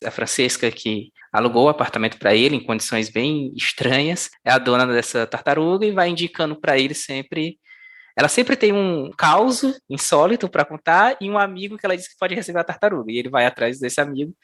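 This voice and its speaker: An interviewer who talks in a steady, mid-range voice. They take their time summarizing before building up to a question. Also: medium pitch. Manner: thoughtful, slow, summarizing before asking. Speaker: podcast interviewer.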